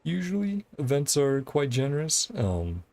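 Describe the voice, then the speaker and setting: Casting: easygoing game streamer, mid-range pitch, casual live commentary, encouraging to viewers, laughs readily. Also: big pitch swings, unhurried, a little mellow, no room sound. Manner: laughs often while talking. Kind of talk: casual livestream gameplay commentary and chat.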